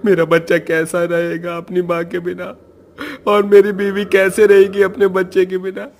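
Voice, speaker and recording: A man's voice: high voice, completely flat delivery, normal speed, darkish tone. A man voicing a desperate pleading villager.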